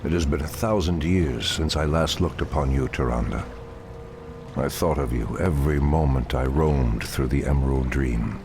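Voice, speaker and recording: An actor playing an ancient night elf archdruid in a fantasy game, with grave, formal, commanding speech. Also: male voice, moderate pace, noisy background. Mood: tender, solemn. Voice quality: very deep, gravelly. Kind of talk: dramatic fantasy game acting. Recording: orchestral game music behind voice. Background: loud music.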